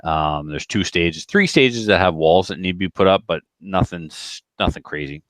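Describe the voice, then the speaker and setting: A man with a low voice talks casually on a podcast. He rambles in everyday language and likes to act out what people said. The recording is dry and close, with little room sound. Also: expressive, moderate pace, fairly bright tone, no echo. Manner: rambling, colloquial, with acted-out quotes. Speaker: podcast speaker who organizes shooting matches.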